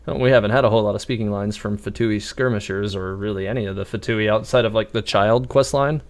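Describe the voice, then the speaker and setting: A man with a fairly low voice gives casual live gameplay commentary. He is wry and thinks out loud as he plays. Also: fast talker. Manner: wry, musing aloud. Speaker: game livestreamer.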